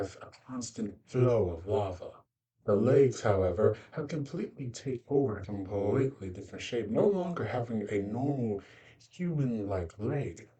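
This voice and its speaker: A man narrating an informal fantasy creature guide in halting, loosely phrased explanations. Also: lowish voice, dark tone, recorded from a distance.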